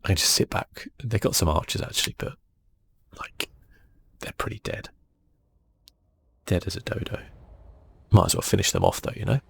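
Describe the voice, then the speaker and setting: A man with a very low, gravelly voice gives laid-back gaming commentary in a soft ASMR style. His husky, breathy delivery often slips toward a whisper, as if he is musing to himself. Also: normal speed, long pauses. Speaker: gaming channel host. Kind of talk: relaxed ASMR game commentary.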